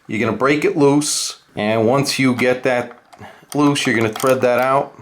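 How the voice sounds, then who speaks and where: A man's voice: medium pitch, measured pace, a little hiss or hum. A man narrating a casual do-it-yourself tutorial in a plainspoken, informal way, explaining things step by step. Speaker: host of a DIY guitar how-to video.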